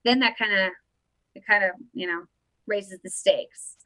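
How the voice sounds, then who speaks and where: A woman's voice: mid-range voice, a little slow, a bit bright, no room sound, rough recording. A woman in her thirties hosting a casual political commentary show on a webcam, rambling with many restarts. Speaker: host.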